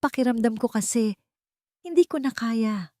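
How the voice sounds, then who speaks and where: A woman's voice: fairly high pitch, normal speed, darkish tone, no room sound, clean studio sound, voice pushed up, lightly acted. A woman voicing an exhausted, hurt wife and mother who pleads emotionally to be understood in an audio love story. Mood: weary, pleading.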